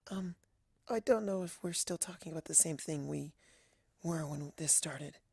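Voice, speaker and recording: A woman voicing a shy, gentle young female pegasus pony in a soft, breathy, hesitant voice. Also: low voice, normal speed, no echo, studio quality, exaggerated voice. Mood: timid. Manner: hesitant, meek.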